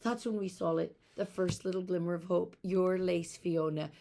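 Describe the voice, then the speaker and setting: A woman's voice: lowish voice, fairly even intonation, moderate pace, gravelly, warm tone, a little hiss or hum. A woman acts as a devoted father in a children's storybook. The words come out fervent and heartfelt, full of feeling. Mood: moved, heartfelt. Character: emotional, devoted father of two young girls. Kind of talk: children's storybook read aloud.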